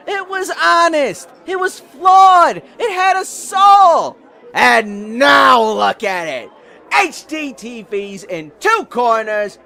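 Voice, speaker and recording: A man's voice: very high voice, creaky, bright, forceful tone, pitched above the performer's own voice, exaggerated voice. A man playing a theatrical, indignant bar regular in an audio drama, ranting bombastically and swearing.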